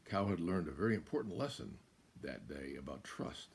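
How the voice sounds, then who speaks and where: An American man in his seventies reading a children's picture book aloud as a guest storyteller, in a deliberate, emphatic way. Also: sits low, expressive, a little hiss or hum. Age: 70s. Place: small room indoors.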